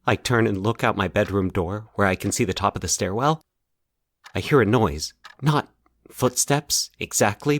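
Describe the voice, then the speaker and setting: A man's voice: mid-range voice, dry recording, studio quality. A man acting a nervous man haunted by nightmares. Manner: nervous, halting, stumbling over his words. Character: anxious man confessing a recurring childhood nightmare. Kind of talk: dramatized horror audio fiction.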